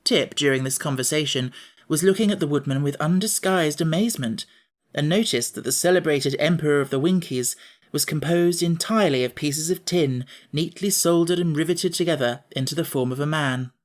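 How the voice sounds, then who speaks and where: A man narrating a classic children's audiobook in a measured, even storytelling manner. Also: fairly high pitch.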